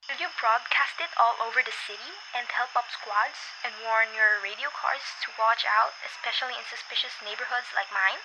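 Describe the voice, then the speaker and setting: A woman's voice: fairly high pitch, normal speed, few pauses, noisy background, lightly acted. A young woman playing an anxious, demanding bedridden wife in an amateur radio drama, fretful and insistent. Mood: urgent. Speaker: student voice actor in a radio play.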